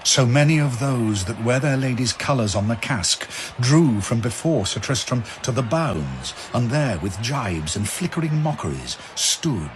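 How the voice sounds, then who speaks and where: A man giving solemn, theatrical, measured narration of epic verse over atmospheric sound effects. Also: mid-range voice, big pitch swings. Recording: clear ambient noise behind narration.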